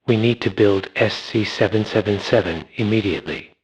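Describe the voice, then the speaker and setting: Husky low-voiced military commander, played by a man. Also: moderate pace, muffled recording. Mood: commanding. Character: male military commander issuing emergency orders. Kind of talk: amateur analog horror dialogue.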